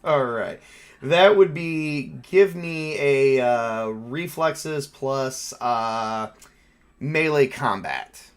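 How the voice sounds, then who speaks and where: A man's voice: medium pitch, animated, drawn-out words, clean tone. A man running a tabletop roleplaying game, narrating and joking casually with the other player.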